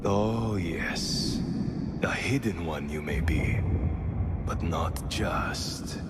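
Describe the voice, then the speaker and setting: An actor playing an arrogant, cruel, fanatical villain in a video game, with grandiose, theatrical delivery. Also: male voice, low-pitched, extremely slow, loud music behind the voice. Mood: reverent, taunting. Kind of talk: dramatic video game cutscene acting.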